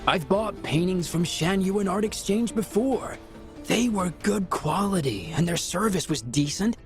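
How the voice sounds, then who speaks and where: A man's voice: sits high, lively intonation, measured pace, music in the background. A male actor playing a doubtful townsperson chatting as an onlooker.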